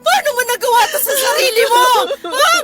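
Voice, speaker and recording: A woman's voice: extremely high pitch, fairly quick, over a noisy crowd. A woman playing an outraged, ashamed mother who scolds and pleads tearfully in an emotional radio drama. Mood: tearful fury. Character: outraged, ashamed mother confronting her daughter. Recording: prominent music behind the voice.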